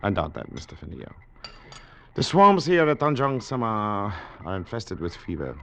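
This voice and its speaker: Insinuating district official, polite but skeptical. Animated pitch, gravelly edge, vintage radio play. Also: male voice, normal speed, slight background noise, exaggerated voice. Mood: ominous. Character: sly foreign colonial district controller, courteous and skeptical. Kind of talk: 1940s radio acting. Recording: vintage radio drama recording.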